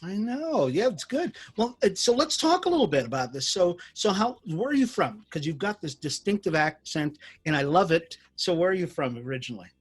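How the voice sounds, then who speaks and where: Husky-voiced man hosting a friendly interview. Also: sits high, sing-song, brisk, hardly any pauses. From Jamaican family. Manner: friendly, stumbling restarts. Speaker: interview host. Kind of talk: casual interview.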